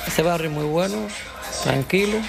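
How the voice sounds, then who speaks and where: Cuban man, street interviewee, gravelly and low, music underneath, short plain answers. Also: normal speed. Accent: Cuban Spanish. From Cuba.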